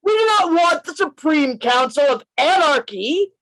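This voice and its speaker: Woman playing a bold, animated gentleman detective, dry sound. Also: sits high, no echo, rough recording. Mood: defiant oratory. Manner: declamatory, emphatic, deliberate phrasing. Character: bold, defiant male undercover detective posing as anarchist. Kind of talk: amateur radio play reading. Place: indoors, on a video call. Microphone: video call.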